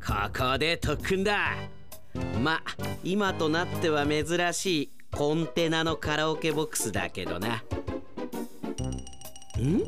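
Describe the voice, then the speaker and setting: A man playing an excitable, cocky, carefree young hero in an anime-like radio drama, with exaggerated, exclaiming delivery. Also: a little high, takes it slowly, long pauses, music in the background. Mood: determined, then puzzled, surprised.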